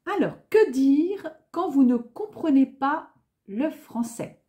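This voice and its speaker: A woman gives a beginner French lesson at an unhurried pace, repeating each phrase twice. Her voice sits high but sounds soft and mellow. She speaks carefully and clearly, like a patient teacher. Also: lively intonation.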